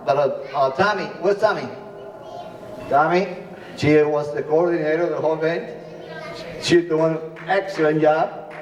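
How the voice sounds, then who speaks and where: A middle-aged man hosting a wedding party and thanking everyone, speaking haltingly as he searches for names. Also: a little high, darkish tone, dull audio, slight background noise. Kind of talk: thank-you speech. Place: large ballroom. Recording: echoey ballroom from far off.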